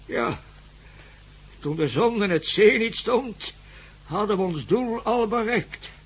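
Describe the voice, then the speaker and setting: Very raspy, high-voiced old jungle villager. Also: male voice, animated, heavily acted. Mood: halting, remembering. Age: older. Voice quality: raspy, husky. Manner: halting, hesitant, chuckling. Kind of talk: radio play acting.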